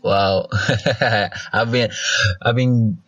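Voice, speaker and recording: Deep-voiced male host, dull dry sound. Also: steady tone, very slow, fairly bright tone, no room sound. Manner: friendly, rambling, laughing often. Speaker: podcast host. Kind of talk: interview questions.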